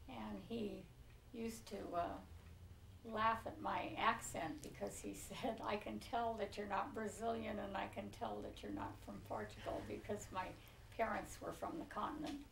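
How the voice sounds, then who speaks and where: American lady in her 70s reminiscing, bit of creak in the voice, roomy sound with faint noise. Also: mid-range voice, a little slow, recorded from a distance. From Pinole, California. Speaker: oral history interviewee. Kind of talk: reminiscing in a relaxed interview. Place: living room, on a leather couch.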